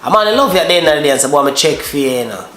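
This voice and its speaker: Jamaican man, relaxed and rambling. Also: fairly high pitch, racing, roomy sound, a little hiss or hum. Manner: relaxed, drifting, repeats himself while searching for words. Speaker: co-host explaining Jamaican phrases. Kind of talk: casual couple vlog chat.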